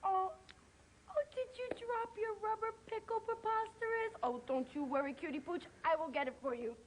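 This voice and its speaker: A woman's voice: high voice, fast talker, slight background noise, close to an everyday voice, low-quality recording. An actress playing a sweet, gushing woman who adores dogs, cooing in sing-song baby talk for children's television. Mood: adoring, cooing.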